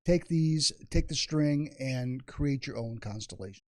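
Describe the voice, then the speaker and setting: A man's voice: medium pitch, measured pace, a little mellow, dry recording, clean studio sound. A man co-presenting products without a script, hesitant and repeating words as he goes. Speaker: co-presenter of library program kits.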